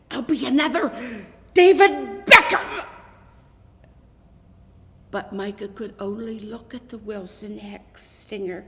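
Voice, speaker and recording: A woman reading a children's Christmas story aloud as scripted narration, in an expressive, deliberate way with dramatic pauses. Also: medium pitch. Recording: echoey hall with long reverb.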